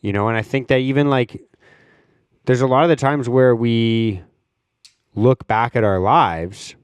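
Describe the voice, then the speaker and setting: A man's voice: mid-range voice, clear, resonant tone, no echo. A young man hosting a solo podcast, talking in a rambling, reflective way with lots of fillers and casual swearing. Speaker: podcast host.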